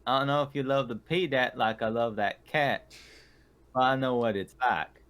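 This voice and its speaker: A man with a medium-pitched voice plays a tabletop roleplaying game. He banters in character and casually describes actions. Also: moderate pace, fairly bright tone, clear, resonant tone.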